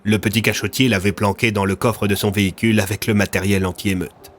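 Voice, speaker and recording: A man's voice: fairly low pitch, flat delivery, fairly quick, a little hiss or hum. A man narrating a scripted audio fiction as a storyteller. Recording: music under the voice.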